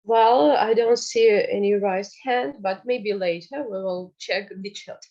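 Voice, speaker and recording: A woman's voice: mid-range voice, measured pace, warm tone, dull audio. A woman moderating an online round table, introducing speakers politely and formally.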